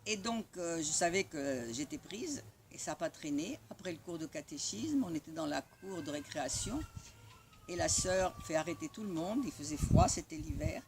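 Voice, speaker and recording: Woman, native Italian speaker, lively, wry, personal storytelling. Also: lowish voice, gravelly, slight background noise. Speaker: spiritual community member sharing a testimony. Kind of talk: personal storytelling after a morning talk.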